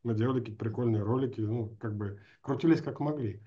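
A man's voice: medium pitch, fast, dark tone. A man in his forties from Astrakhan, Russia, hosting a casual interview in an informal, rambling way on a video call. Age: 40s. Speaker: host, interviewer. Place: small room indoors, video call. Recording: slightly dull video-call audio.

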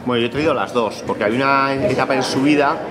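A man's voice: medium pitch, very fast, a crowd in the background. A man in his thirties with a Spanish accent from Spain, a mountain bike racer chatting casually indoors. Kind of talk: casual chat. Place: indoor dining room. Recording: loud music over the voice.

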